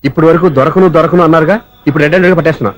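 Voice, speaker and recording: Fast, high-voiced lovestruck young man male actor. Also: natural voice. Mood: triumphant. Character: exasperated lovestruck young man hunting for a girl. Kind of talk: Telugu film comedy acting.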